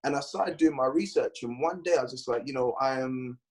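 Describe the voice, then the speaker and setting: Husky-voiced London man telling his story. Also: mid-range voice, a little fast. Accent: London English. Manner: rambling, restarts, animated storytelling. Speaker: guest bodybuilder and trainer. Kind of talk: casual podcast chat.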